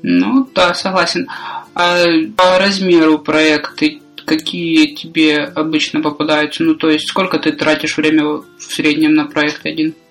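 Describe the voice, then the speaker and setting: A man's voice: a little high, completely flat delivery, unhurried, a bit bright, faint music behind, poor audio. A man hosting a podcast, asking conversational, probing follow-up questions. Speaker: podcast host. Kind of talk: interview questions.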